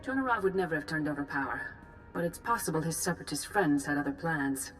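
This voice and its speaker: An actress playing a calm, grave senior Jedi leader giving formal, measured orders in a video game. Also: female voice, low voice, soft background music, rough recording. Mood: composed, satisfied. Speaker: commander giving a mission briefing. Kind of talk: video game cutscene acting.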